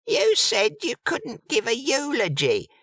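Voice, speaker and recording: A man putting on a breathy, excited old fortune-teller woman. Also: very high voice, takes it slowly, no echo, studio quality, pitched above the performer's own voice, exaggerated voice.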